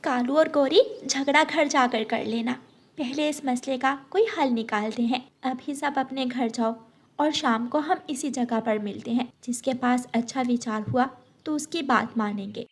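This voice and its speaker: A woman's voice: high-pitched, fairly even intonation, unhurried. A woman voicing a sensible, concerned little female bird with an earnest, peacemaking manner in a cartoon story. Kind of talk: Hindi cartoon story acting.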